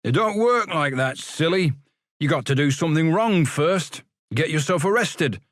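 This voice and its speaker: A man's voice: on the high side, expressive, normal speed, a bit bright, dry recording, studio quality, pitched above the performer's own voice. A man doing a streetwise young pauper. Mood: scheming.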